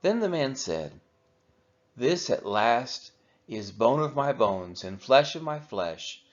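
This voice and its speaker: A man reading a Bible chapter aloud for a church, measured and falling at the end of each phrase. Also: medium pitch, very animated. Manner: measured, falls at phrase ends. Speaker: church scripture reader. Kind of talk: steady scripture reading. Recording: dull lo-fi audio.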